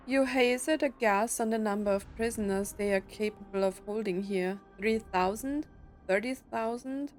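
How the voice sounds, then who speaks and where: A woman gameplay streamer, reacting casually and reading game text aloud, with faint music behind her. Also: on the high side.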